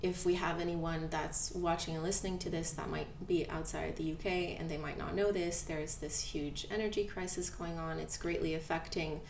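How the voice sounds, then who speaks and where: Young woman host, fry in the voice, encouraging, roomy distant sound. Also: low voice, fairly even intonation, moderate pace, few pauses. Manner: encouraging, many filler words and yeahs. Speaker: podcast host. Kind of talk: casual podcast interview. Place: bakery room with hard walls. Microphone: desk mic on stand, set back. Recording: echoey room, distant mic, faint noise.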